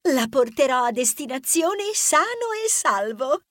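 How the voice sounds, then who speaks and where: Lovestruck young mouse conductor, children's audiobook. Very high, slow, swooning delivery. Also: female voice, very animated, no room sound, clean studio sound. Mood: cheerful, eager. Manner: effusive, flustered, swooning, repetitive apologies. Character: gushing, lovestruck young female rodent train conductor. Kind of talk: children's audiobook character acting.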